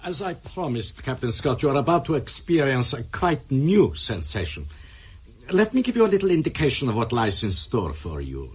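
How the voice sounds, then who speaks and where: Coolly sadistic torturer doctor, wildly swooping intonation. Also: male voice, mid-range voice, big pitch swings, moderate pace, breathy edge. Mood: menacing. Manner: precise, menacing, lecturing politeness. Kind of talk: radio drama acting.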